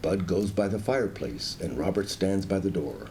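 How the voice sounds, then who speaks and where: A man's voice: deep voice, animated, normal speed, a little mellow, slight background noise. A man giving scripted narration of stage directions in a radio play.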